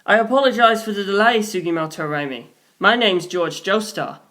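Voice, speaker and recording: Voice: medium pitch, normal speed, bright, forceful tone, close to an everyday voice. A polite young male sleuth from an audiobook, acted out by the narrator, speaks in a mid-range voice. He is confident and formal, setting out his reasoning methodically.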